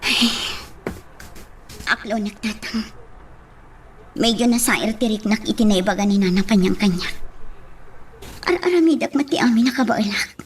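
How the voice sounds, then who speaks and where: A woman's voice: medium pitch, moderate pace, pauses often, soft background music, natural voice. A woman playing an earnest, plaintive young woman in an Ilocano radio drama. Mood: troubled. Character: earnest, troubled young woman defending her sweetheart.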